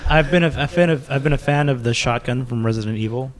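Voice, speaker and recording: A man's voice: medium pitch, fast talker, a loud crowd. A man talking casually as a podcast guest, blunt and slangy, with some swearing.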